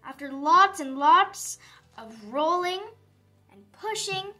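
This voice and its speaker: Female storybook narrator: high, very lively intonation, unhurried, music underneath. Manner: animated, dramatic emphasis for young listeners. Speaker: storybook reader. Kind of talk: expressive children's read-aloud narration. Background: background music.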